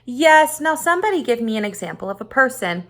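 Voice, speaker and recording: A woman voicing a portly male English teacher in a storybook, briskly quizzing his class. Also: sits high, sing-song, fairly bright tone, voice pushed up.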